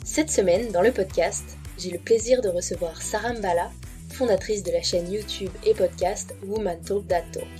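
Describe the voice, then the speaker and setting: Calm woman hosting a podcast. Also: medium pitch, moderate pace. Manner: calm, hesitant with fillers. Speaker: podcast host. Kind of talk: podcast intro and interview. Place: Montreal. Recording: faint music behind.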